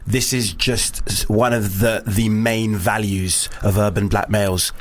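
A man's voice: fairly low pitch, steady tone, unhurried. A man, co-hosting a casual chat. He is a musical performer who makes wry, jokey asides.

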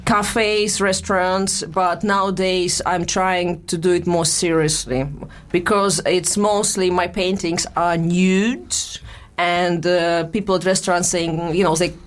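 A young adult woman with a Russian accent speaks in a fairly low voice. She talks in halting, broken English, stopping and restarting her sentences as she chats in an interview. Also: fairly even intonation. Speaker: guest, boxer and musician. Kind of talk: casual interview chat.

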